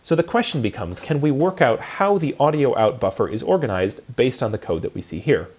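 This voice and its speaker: A man's voice: mid-range voice, animated, fairly quick, a little mellow, slightly rough. Man giving a methodical technical lecture. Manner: methodical, step-by-step explaining with rhetorical questions. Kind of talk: instructional programming lecture, explanatory.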